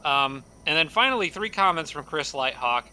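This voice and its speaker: A man commentating his strategy game playthrough, thinking aloud with many fillers and restarts, his voice a little raspy from a dry throat. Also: on the high side, animated, normal speed, sharp tone, slight background noise, poor audio. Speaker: strategy game let's-play host. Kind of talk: casual gameplay commentary.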